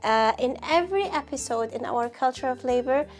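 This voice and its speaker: A woman's voice: high-pitched, lively intonation, normal speed, faint music behind. A woman co-hosting a cooking talk show in a casual, conversational way.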